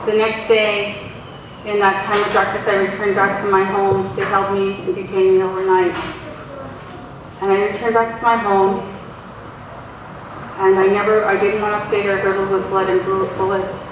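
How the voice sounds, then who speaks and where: A woman's voice: mid-range voice, flat delivery, noisy background. Woman speaking in a far-off echoey hall. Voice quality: loud voice. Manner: halting, many pauses and restarts. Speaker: guest speaker, community organizer. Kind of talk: emotional public speech. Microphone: far from the mic.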